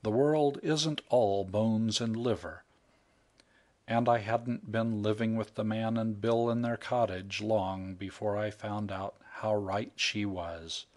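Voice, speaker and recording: A man narrating a comic short story as an audiobook reader. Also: steady tone. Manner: unhurried, low storytelling voice.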